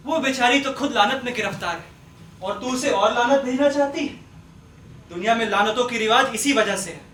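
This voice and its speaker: A man on stage playing a forgiving, gently moralising father, speaking politely with measured insistence. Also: very high voice. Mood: earnest, reproving. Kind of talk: Hindi stage play acting. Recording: echoey, distant stage recording with noise.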